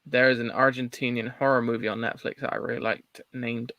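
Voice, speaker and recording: Gravelly-voiced laid-back Bristolian man. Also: mid-range voice, level tone, fairly quick, sharp tone, dry recording. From Bristol, England. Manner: laid-back, swears casually, cannot roll his R's. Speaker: livestream host reading chat. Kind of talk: casual livestream chat.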